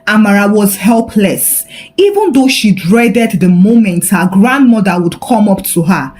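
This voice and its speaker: A woman narrating a scripted folktale in a dramatic, expressive storytelling manner. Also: medium pitch, measured pace.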